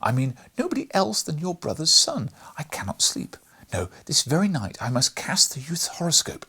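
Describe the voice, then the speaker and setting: A man's voice: a little high, huge pitch swings, normal speed, pushed and bright, slightly rough, voice pushed up, exaggerated voice. A man voicing an earnest, emotional astronomer from a storybook, speaking in a formal, declamatory way. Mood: excited.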